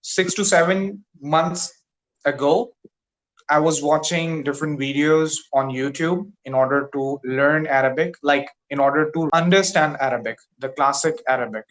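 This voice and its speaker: A man's voice: a little high, steady tone, measured pace, fairly bright tone, rough recording. A Pakistani man whose first language is Urdu, an English teacher talking carefully with fillers on a tutoring call. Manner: careful, hesitant, many fillers. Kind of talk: casual conversation practice. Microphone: video call.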